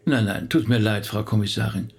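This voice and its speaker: A man playing a calm, thoughtful pastor in a radio drama, husky and speaking in a considered, patient way. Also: on the low side, a little fast. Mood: firm, thoughtful. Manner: considered, patient, slightly indignant. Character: calm, thoughtful male pastor being questioned. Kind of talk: German radio drama acting.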